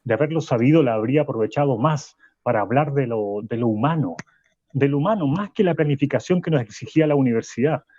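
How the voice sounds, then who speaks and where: A man acts out a weary Chilean professor who stammers and repeats himself. His husky voice comes through slightly dull and muffled. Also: mid-range voice, fast, mellow, no echo, close to an everyday voice. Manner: hesitant, stammering, repeats words. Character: shaken male university professor, hesitant and weary. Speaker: guest. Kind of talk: radio drama acting.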